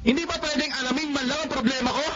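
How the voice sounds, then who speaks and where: A man's voice: very high voice, steady tone, fast talker, dull audio. A man with a Filipino accent playing an impassioned, anguished young lover in a Tagalog radio drama. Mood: frustrated, aggrieved. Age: young adult.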